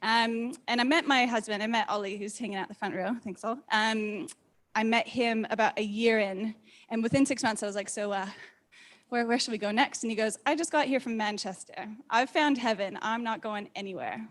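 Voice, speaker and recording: A woman in her thirties with a mixed, hard-to-place accent, telling personal stories informally and in an animated, candid way as a speaker to a live audience. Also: a little high, moderate pace. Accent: hard-to-place mixed accent, neither clearly American, New Zealand nor Australian. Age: 30s. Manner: animated, candid, acts out quoted thoughts. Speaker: speaker at a community meetup.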